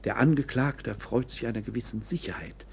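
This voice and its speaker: A man acting in a German radio drama as a slippery, eccentric court insider who explains things in an insinuating, theatrical way with dramatic pauses. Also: medium pitch, creaky, slight background noise, lightly acted. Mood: persuasive. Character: slippery, eccentric male court insider coolly explaining hopeless legal options.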